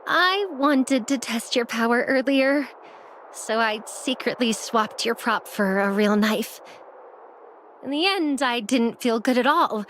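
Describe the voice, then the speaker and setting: Earnest, higher-voiced young anime game heroine. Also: female voice, animated, slow pace, a bit bright, slight background noise. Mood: sheepish. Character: earnest young woman, a devout prophecy-following emissary.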